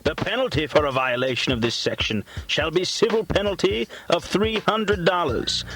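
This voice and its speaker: A man narrating in a mock-solemn documentary style, like a grave orator, with pompous and deliberate delivery. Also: mid-range voice, low-quality recording. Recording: music behind the voice. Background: music.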